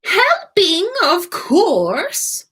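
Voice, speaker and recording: A woman's voice: very high-pitched, wildly swooping pitch, extremely slow, fairly bright tone, voice pushed up, heavily acted. A woman voicing a cheerful, romantic old male ghost in a story, with an airy, sentimental manner.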